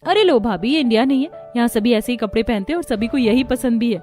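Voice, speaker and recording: A woman's voice: a little high, fast, darkish tone, faint music behind, lightly acted. A woman voicing a rich, bold and crafty young sister-in-law in a cartoon, with an assertive, brash manner. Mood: coaxing.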